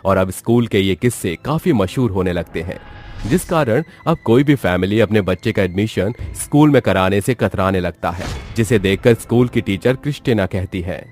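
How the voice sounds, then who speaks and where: A man's voice: lowish voice, expressive, normal speed, a little mellow. A man narrating a scripted horror story in a dramatic storytelling style.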